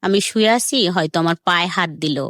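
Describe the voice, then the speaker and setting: A woman's voice: lowish voice, fast talker, fairly bright tone, no echo, studio quality. A woman with a Bangladeshi accent recounts her life story as an interview guest, speaking colloquially and acting out remembered dialogue. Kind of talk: personal storytelling in a radio interview.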